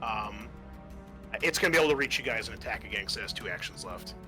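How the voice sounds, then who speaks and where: A man with a bright, forward voice runs a tabletop roleplaying game as game master. He talks casually and loosely, describing moves and rulings, with faint music playing behind him. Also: on the low side, lively intonation, quick pace.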